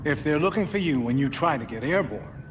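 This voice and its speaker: Man playing a higher-pitched, measured tech mentor. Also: lively intonation, noisy background, natural voice. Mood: calm, instructive. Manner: measured, formal, precise. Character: calm, methodical male tech mentor briefing a hero. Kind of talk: video game mission briefing.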